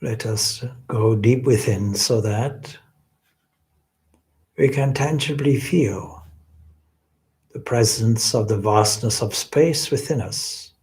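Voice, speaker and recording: A man leading a guided meditation as a swami, speaking in a gentle, reverent, deliberate way with long pauses. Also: lowish voice.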